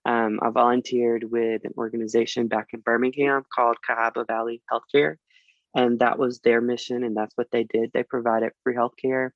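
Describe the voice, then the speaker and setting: Young man talking halting and relaxed. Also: mid-range voice, no echo, dull audio. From Alabama. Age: 20s. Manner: relaxed, halting, many fillers and restarts. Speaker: dental student guest. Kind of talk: casual interview chat.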